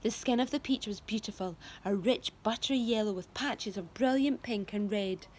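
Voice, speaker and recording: A woman teacher reading a children's novel aloud to her class, expressive storytelling. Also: medium pitch, normal speed, a little hiss or hum. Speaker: primary school teacher.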